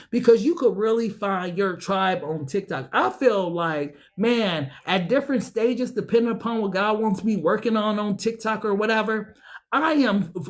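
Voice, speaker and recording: Fiery, preachy tarot-reading woman. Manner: enthusiastic and preachy, swinging high and low; profane and slangy. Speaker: tarot reader. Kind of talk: casual tarot reading to camera.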